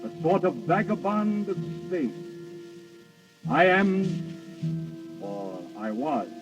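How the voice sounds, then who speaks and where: Muffled old radio recording with music, Death personified, slow-spoken, courtly, theatrical, otherworldly. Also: male voice, on the high side, sing-song, far from the mic, heavily acted. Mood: courtly, ominous calm. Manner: measured, theatrical, formal. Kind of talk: 1930s radio acting.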